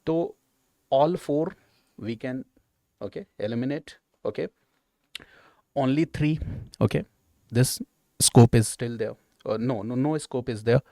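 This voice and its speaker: A mellow-voiced man with an Indian accent works through exam questions like a coaching teacher. He repeats key points with emphasis and keeps checking in with short questions. His pitch is medium and the sound is dry, with very little room echo. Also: unhurried, creaky, pauses often. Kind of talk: explanatory exam walkthrough.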